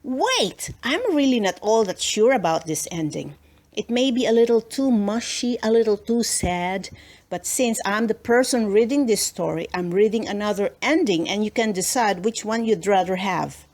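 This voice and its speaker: A woman narrating a children's storybook as an expressive read-aloud. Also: medium pitch, normal speed.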